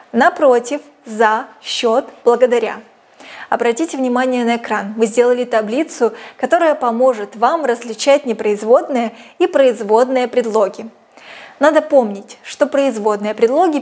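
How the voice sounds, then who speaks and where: High-pitched young woman presenting a lesson. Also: darkish tone. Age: young adult. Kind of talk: scripted educational narration. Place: small indoor studio set, seated. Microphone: lapel mic.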